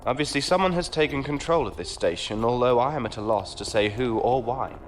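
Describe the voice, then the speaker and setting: A man's voice: medium pitch, animated, brisk, a little hiss or hum, lightly acted. A man playing a patronizing courtly knight. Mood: wry. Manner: courtly, patronizing, dry wit. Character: genteel, condescending male knight with a ship.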